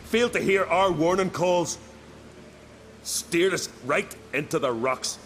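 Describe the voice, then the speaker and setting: An actor playing a loyal, hot-headed young island warrior in a video game, with an earnest, colloquial way of telling a tale. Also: male voice, sits high, takes it slowly, a bit bright, slight background noise. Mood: rueful, annoyed. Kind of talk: dramatic video game voice acting.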